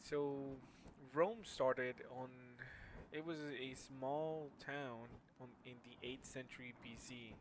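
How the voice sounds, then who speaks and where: A young man whose first language is Spanish, giving a class presentation in a halting way with many fillers and restarts. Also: medium pitch, fairly even intonation, a little hiss or hum. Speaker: student presenter.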